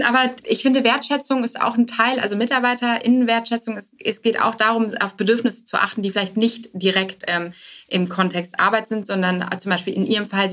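A woman's voice: medium pitch, a bit bright. A woman speaking as a startup founder and podcast guest, answering casually and anecdotally with English business terms mixed in.

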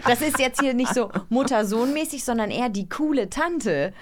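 A woman with a fairly high voice co-hosts a casual chat with short remarks and questions. Other voices are clearly heard behind her. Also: animated, moderate pace, a crowd in the background. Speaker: podcast co-host.

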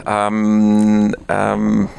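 A man's voice: on the low side, completely flat delivery, very slow, gravelly, darkish tone. A man from Poland talking passionately in an interview, gesticulating as he speaks and restarting his sentences often. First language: Polish. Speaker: guest. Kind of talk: conversational interview.

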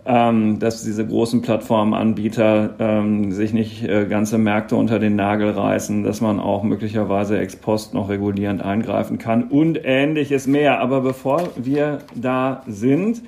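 A man hosting a podcast interview, speaking in a relaxed way with frequent hesitations. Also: fairly low pitch, measured pace, vocal fry, hardly any pauses. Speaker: podcast host.

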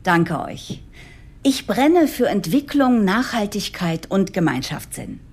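A woman's voice: mid-range voice, very animated, takes it slowly, darkish tone, slightly rough, exaggerated voice. A woman playing an earnest, idealistic new chief executive in a German audio drama. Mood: motivated, persuasive. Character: new female CEO, eager, idealistic, nervously determined. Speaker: lead character and narrator.

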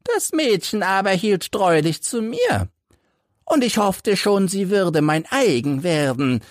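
A man's voice: high-pitched, very animated, slow pace, no echo, clean studio sound, pitched above the performer's own voice, exaggerated voice. Man acting out a bitter, crippled old soldier. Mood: wistful. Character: embittered hunchbacked old ex-soldier telling his life story.

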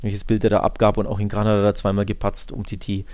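A man with a fairly low voice and plenty of fry co-hosts a football podcast. He gives his opinions casually and colloquially, often looking for agreement. The sound is dry, with no room to it. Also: monotone, quick pace, a little mellow, dry recording. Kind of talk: casual football talk and analysis.

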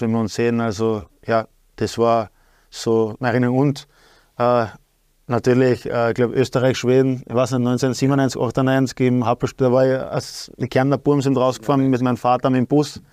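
A man's voice: medium pitch, flat delivery, normal speed, darkish tone, no echo, clean studio sound. A man in his thirties with an Austrian accent, a guest talking in a hesitant way with many fillers and restarts. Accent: Austrian German. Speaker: guest, sporting director. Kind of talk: casual talk show chat.

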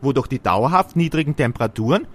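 A man's voice: mid-range voice, lively intonation, fast, natural voice. A man playing a friendly, naive snowman in a fairy-tale radio play, with earnest, formal phrasing.

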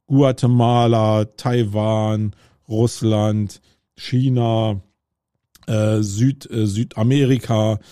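A man's voice: on the low side, steady tone, extremely slow, dark tone, smooth, no echo. German man musing on a dry mic. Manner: thinking aloud, many fillers and restarts. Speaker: podcast host. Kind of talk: casual solo podcast monologue. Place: outdoors on a city street with roadwork noise.

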